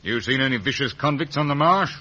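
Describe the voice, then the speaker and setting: An actor playing a brisk, commanding army sergeant who barks and blusters in a 1950s radio drama. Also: male voice, mid-range voice, sharp tone. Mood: brisk, official. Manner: commanding, barking. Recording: noisy, dull vintage radio broadcast.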